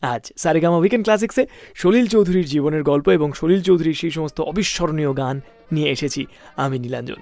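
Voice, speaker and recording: A man hosting a music radio show, telling anecdotes in a dramatic, emphatic style with deliberate repetition. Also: high voice.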